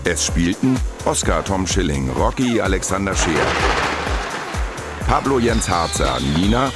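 Male credits announcer, low voice, varied intonation, scripted broadcast read over loud electronic music. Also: sing-song, measured pace.

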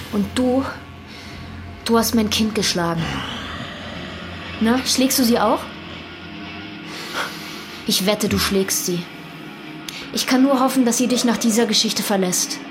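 Cold, hostile mother, acted by a woman, higher-pitched. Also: unhurried, long pauses, over music, lightly acted. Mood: accusing, contemptuous. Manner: curt, cutting, accusatory. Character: cold, hostile, unforgiving young mother in a marital feud. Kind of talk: German radio drama acting.

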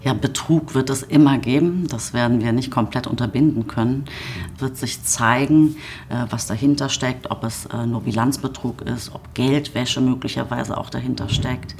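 A woman politician gives careful, hesitant interview answers in a gravelly voice full of vocal fry. There is faint noise in the recording. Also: a bass voice, fairly even intonation, darkish tone. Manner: hesitant, halting, guarded. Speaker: government politician being interviewed.

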